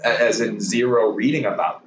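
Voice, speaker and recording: A man in his twenties from Hong Kong, a podcast guest talking haltingly with many restarts and jokes. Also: on the low side, a little hiss or hum. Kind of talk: casual interview chat. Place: home room with a dog. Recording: roomy, distant, dull sound.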